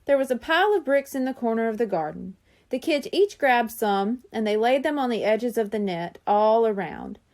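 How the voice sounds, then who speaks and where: A woman narrating a children's storybook in an animated, scripted reading style. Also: on the high side, moderate pace. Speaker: audiobook reader.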